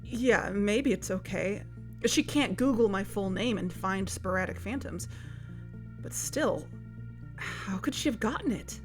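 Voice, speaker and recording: An actress playing a worried, guarded amateur investigator, speaking hesitantly in a naturalistic audio drama. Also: female voice, mid-range voice, animated, a little slow, music in the background, close to an everyday voice. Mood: uneasy, resigned.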